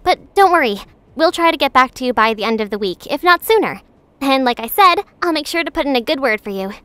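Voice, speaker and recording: A woman's voice: high-pitched, animated, quick pace, bright, forceful tone, no echo. A young woman playing a friendly, encouraging shop girl in an anime-style drama, with a perky, reassuring manner. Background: music.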